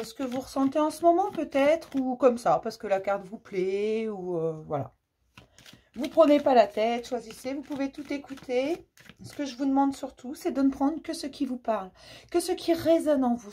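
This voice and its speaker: A French woman reads tarot cards for her viewers in a gentle, hesitant, affectionate way, often restarting her sentences. Her voice sits fairly high and sounds husky, thickened by a cold. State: has a cold. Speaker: tarot card reader. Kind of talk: casual card reading for viewers.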